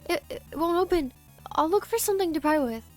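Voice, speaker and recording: A woman's voice: extremely high pitch, expressive, brisk, darkish tone, soft background music, pitched above the performer's own voice. A woman voicing an anxious, polite, caring young companion in a game, speaking with urgency. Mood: panicked.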